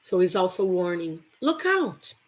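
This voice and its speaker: A woman's voice: on the low side, very animated, unhurried. Chatty woman teaching English. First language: Portuguese. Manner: chatty, repeats example phrases with laughs. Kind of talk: live online English lesson.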